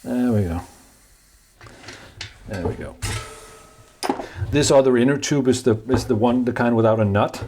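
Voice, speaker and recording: A man's voice: medium pitch, noisy background. A man with a Danish accent, talking casually in English while he replaces bike tires, with lots of fillers and restarts. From Denmark. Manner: halting, many fillers and restarts, chatty asides. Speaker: DIY maker vlogger.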